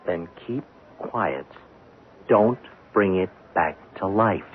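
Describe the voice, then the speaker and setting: A raspy, probing big brother. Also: male voice, deep voice, full character voice. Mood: pointed. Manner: insistent, deliberate, pointed questioning. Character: probing, protective, pragmatic big brother urging secrecy. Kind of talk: 1970s radio drama acting. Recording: muffled phone-like old radio broadcast.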